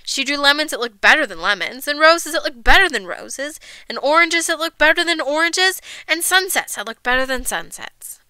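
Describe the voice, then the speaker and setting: A woman reading a children's storybook aloud as a storyteller, expressive and emphatic. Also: high-pitched, moderate pace, hardly any pauses, no echo. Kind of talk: animated storybook read-aloud.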